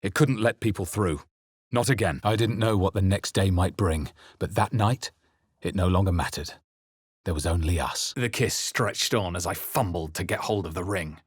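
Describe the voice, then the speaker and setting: Deep, husky, grave fantasy narrator. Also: male voice, no echo, studio quality. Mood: solemn. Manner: grave, deliberate, literary storytelling. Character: male adventurer narrating his own dark fantasy memories, grave and introspective. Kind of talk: scripted first-person game narration.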